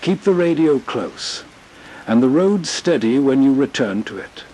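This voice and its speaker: A man with a husky voice narrating gently and reflectively as a soothing, scripted nostalgia show host. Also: medium pitch, lively intonation, unhurried, a little hiss or hum, poor audio.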